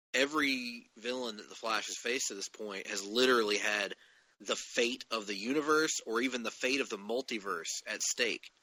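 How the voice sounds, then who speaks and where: A man's voice: lowish voice, bright, forceful tone, dry recording, low-quality recording. A man hosting a podcast, casually discussing comic-book television shows. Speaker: podcast host.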